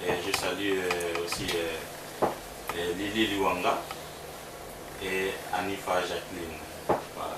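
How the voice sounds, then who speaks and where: A man from Congo, a musician, answering interview questions in a relaxed, halting way that repeats words. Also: low voice. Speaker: musician guest. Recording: roomy sound from a distance with background noise.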